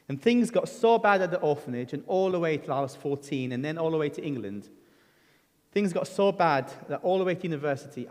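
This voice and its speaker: A middle-aged man from Lebanon preaching a sermon, telling his own story in an earnest, conversational way with frequent ums and restarts. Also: mid-range voice. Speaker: guest preacher. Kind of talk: sermon with personal testimony. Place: church hall. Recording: church hall reverb.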